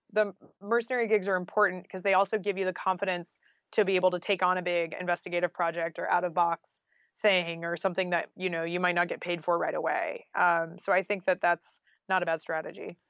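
A woman's voice: lowish voice, little pitch movement, a little fast, a bit bright, dry recording, dull audio. A woman talking casually and informally as an interview guest, peppering her speech with "like" and "you know". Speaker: guest author and journalist.

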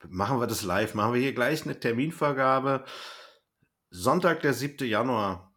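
A man's voice: mid-range voice, a bit bright. A man hosting a podcast in casual chat, explaining things and thinking aloud as he goes. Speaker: podcast host.

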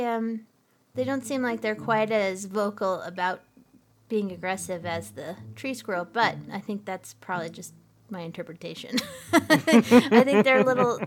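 A woman's voice: medium pitch, a little slow. A woman co-hosting a podcast, chatting casually and giggling often, with frequent restarts. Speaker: podcast co-host. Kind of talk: casual chat.

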